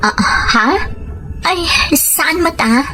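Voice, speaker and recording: A woman's voice: sits high, expressive, slow pace, bright, forceful tone, soft background music, heavily acted, low-quality recording. A woman playing a determined wife and mother in a dramatized story, earnest and persuasive. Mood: hesitant. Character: determined wife and mother set on working abroad. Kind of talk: dramatized first-person storytelling with dialogue.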